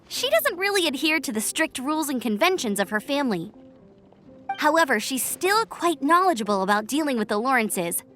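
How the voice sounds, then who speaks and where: Friendly young scout girl, high lively voice, chatty, faint game music. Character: cheerful young female knight scout. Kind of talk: game cutscene voice acting.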